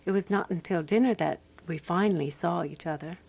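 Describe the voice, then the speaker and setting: An actress playing a composed, wry woman sleuth narrating a radio mystery in measured, scripted storytelling. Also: lowish voice, vocal fry, darkish tone, slight background noise.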